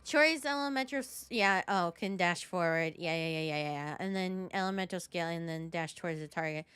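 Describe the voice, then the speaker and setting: A woman's voice: lowish voice, fairly quick, a bit bright, clear, resonant tone. A woman giving casual, playful commentary as she streams a game, reacting and joking.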